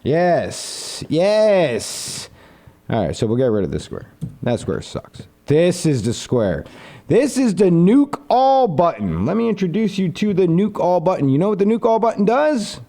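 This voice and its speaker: A young man on a casual live stream, pitching an idea to his viewers in an animated, rambling way and answering their comments. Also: unhurried. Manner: reads viewer comments aloud and answers them.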